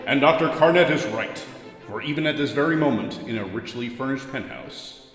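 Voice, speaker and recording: An echoey recording of a male narrator with a medium-pitched voice. He delivers scripted serial narration in a theatrical, portentous way. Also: expressive, fairly quick, soft background music. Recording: echoey reverb.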